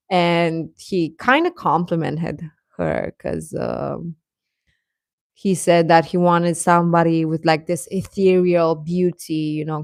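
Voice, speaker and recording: A woman hosting a casual reaction chat, talking in an animated, giggly, rambling way and often repeating phrases for emphasis. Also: on the low side, no room sound, studio quality. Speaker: livestream host chatting with viewers.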